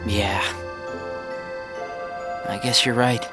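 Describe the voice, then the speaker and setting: A man's voice: on the low side, steady tone, drawn-out words, music in the background. An actor playing an earnest, vulnerable teenage boy in a video game, youthful and sincere. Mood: wistful. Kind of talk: video game cutscene voice acting.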